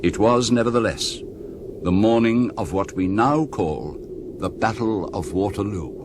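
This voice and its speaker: Measured narrator for period war radio play; low register, noisy ambience. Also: male voice, expressive. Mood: measured, grave. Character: measured narrator recounting a Napoleonic battle. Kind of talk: scripted historical narration for radio drama.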